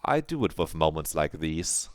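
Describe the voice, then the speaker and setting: A man streaming a visual novel playthrough, reading the narration aloud and reacting with animated commentary. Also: extremely low pitch, normal speed, dry recording, clean studio sound.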